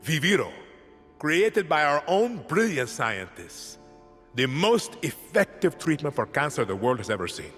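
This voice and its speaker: A man playing a menacing, smug island president in a game, speaking with a Latin American accent in a deliberate, theatrical manner. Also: on the high side, sing-song, a bit bright, slightly rough, big-room sound, soft background music, heavily acted. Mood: solemn, grandiose. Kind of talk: political speech and dramatic game acting.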